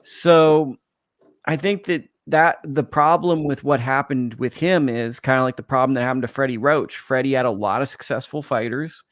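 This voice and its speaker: Brief: male panelist, easygoing analyst, mid pitch, dry close recording. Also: normal speed, no echo. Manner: relaxed, analytical, reasons things out at length. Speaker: podcast panelist. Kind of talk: casual panel chat.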